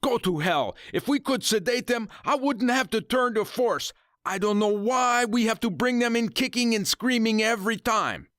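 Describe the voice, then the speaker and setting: A man's voice: extremely high pitch, expressive, moderate pace, dry recording, pitched above the performer's own voice. A brutal, short-tempered middle-aged kidnapper boss, put on by a male narrator, barking threats in a strained, raised voice. The recording is dry and close, with no room sound. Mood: angry shouting. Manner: shouting, menacing threats.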